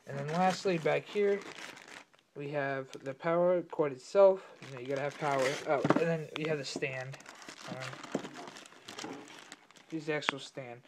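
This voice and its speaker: A young man with a slightly raspy voice from being sick, casually talking through an unboxing at his desk with lots of fillers and self-corrections. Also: fairly high pitch, darkish tone, slight background noise. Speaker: tech unboxing vlogger. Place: home room at a desk.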